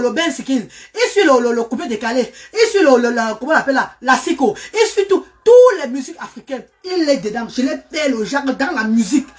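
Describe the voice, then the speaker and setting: A middle-aged woman, a mother and charity worker giving a fervent, repetitive, pleading personal testimony. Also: high-pitched.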